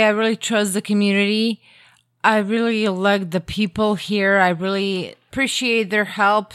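A woman whose first language is Croatian talks casually as a podcast guest. She is earnest and a bit self-deprecating, at a middle pitch. The sound is close and dry, with no room. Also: fairly bright tone, no echo.

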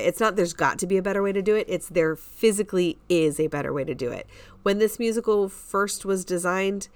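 A woman's voice: lowish voice, dry recording. A woman co-hosting a podcast, chatting casually and giving emphatic opinions in pointed statements. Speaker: podcast co-host.

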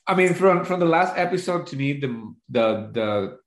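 A man's voice: on the high side, expressive, normal speed. Man chatting casually in a roomy echo. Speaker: podcast co-host. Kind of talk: casual chat recapping reality TV. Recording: roomy, echoing voice recording.

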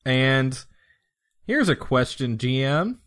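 A man's voice: medium pitch, big pitch swings, takes it slowly, fairly bright tone, no echo, clean studio sound. A man of twenty-six chatting casually as a player in a tabletop game, joking and teasing.

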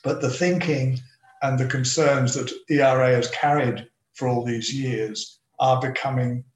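An older man speaking as an interview guest, measured and reflective. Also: mid-range voice, steady tone. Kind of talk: casual interview. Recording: echoey room.

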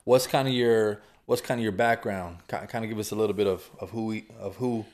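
A man hosting a podcast, talking in an informal, conversational way with relaxed slang. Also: lowish voice, a little fast. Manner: informal, peppers speech with "bro". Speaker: podcast host. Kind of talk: casual, conversational podcast talk.

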